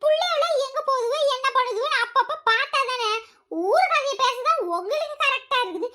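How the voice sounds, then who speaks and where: A woman voicing a sharp-tongued older village woman who lectures scornfully. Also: very high voice, brisk. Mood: lecturing.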